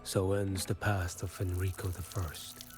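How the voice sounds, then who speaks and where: Low-voiced solemn swordsman. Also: level tone, over music. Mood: solemn, grave. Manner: solemn, measured, formal. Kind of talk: dramatic game voice acting.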